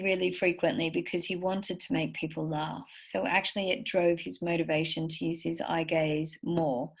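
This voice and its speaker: A woman giving a recorded slide lecture for parents, encouraging and full of stories. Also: on the low side. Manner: warm, encouraging, anecdotal. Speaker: speech therapist presenter.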